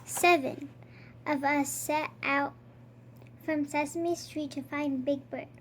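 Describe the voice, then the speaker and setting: A child with a high voice reads a storybook aloud at an unhurried pace. The delivery is that of a read-aloud for young listeners. There is faint background noise in the recording. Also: steady tone, fairly bright tone. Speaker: storybook reader.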